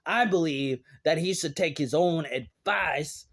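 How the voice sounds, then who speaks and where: A man's voice: on the high side, unhurried, a bit bright. A man in his thirties with an American accent talks alone in a vlog. His delivery is subdued and rambling, circling back over the same phrases. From United States. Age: 30s. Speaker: vlogger. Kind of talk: rambling solo commentary.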